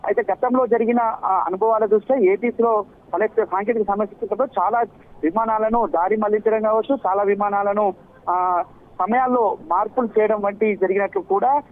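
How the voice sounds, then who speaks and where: A man giving an unscripted live news report in a halting, repetitive, hesitant way. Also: high voice, fairly even intonation. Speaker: news correspondent. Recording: muffled, band-limited live link.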